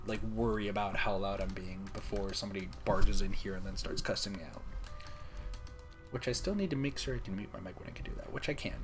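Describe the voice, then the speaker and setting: Soft-spoken young man near a whisper. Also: fairly low pitch. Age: young adult. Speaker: streamer. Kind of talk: casual gaming stream chat. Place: room at home, late at night.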